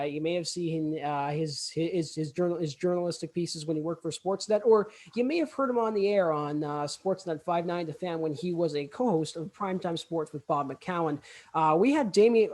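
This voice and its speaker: Halting young man hosting a podcast. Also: fairly high pitch. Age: young adult. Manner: halting, stammering with repeated words. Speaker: student podcast host. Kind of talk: casual podcast introduction.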